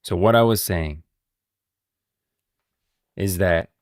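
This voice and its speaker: A man's voice: low voice, slow, no room sound, studio quality. A man doing casual live-stream commentary, talking in an emphatic, repetitive, slangy way.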